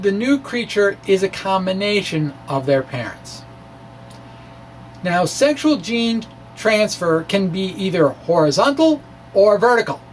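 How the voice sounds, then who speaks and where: A middle-aged man doing a dramatic reading of a science blog, halting and wry, with informal self-deprecating asides. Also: high-pitched. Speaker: science video blogger. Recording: distant mic, faint background noise.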